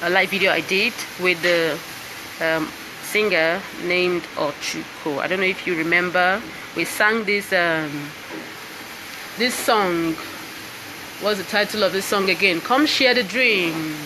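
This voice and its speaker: A woman's voice: on the low side, a little slow, noisy background. A Nigerian woman, a singer and voice coach, talking casually to viewers on a live stream from indoors and often checking in with "all right?" and "you understand?". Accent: Nigerian English. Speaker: singer and voice coach presenting her book. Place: indoors with piano and laptop.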